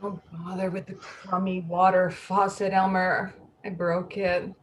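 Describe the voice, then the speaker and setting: A woman playing an anxious, slightly odd middle-aged woman who speaks haltingly in a play reading. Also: lowish voice, little pitch movement, slight background noise, lightly acted. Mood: distressed. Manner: halting, repeating words, fretful.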